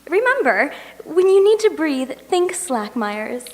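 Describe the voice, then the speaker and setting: A woman's voice: high voice, sing-song, unhurried. A woman playing an earnest, dramatic radio serial announcer selling the sponsor's product in old-time style. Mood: salesy. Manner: dramatic, salesy. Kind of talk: 1940s radio announcer acting. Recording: reverberant hall.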